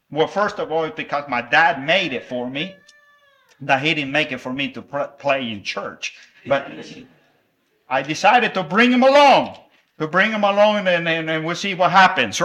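Bright-voiced preacher. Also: male voice, on the high side. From El Salvador. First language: Spanish. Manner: warm, animated and playful with children, with halting restarts. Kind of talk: sermon with a children's object lesson.

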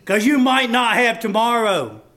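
A man preaching a fervent, folksy evangelical sermon, swinging between shouts and hushed appeals. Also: very high-pitched, sing-song, a little slow. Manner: folksy, plain-spoken grammar with rhetorical questions.

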